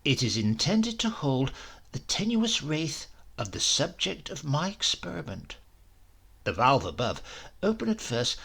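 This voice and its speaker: Man acting a grandiose, gravel-edged mad professor. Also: on the high side, bright, forceful tone, full character voice. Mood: fervent, lecturing. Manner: grandiose, intense, deliberate. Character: grim, obsessed male Italian professor of crank science. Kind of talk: dramatised horror audiobook.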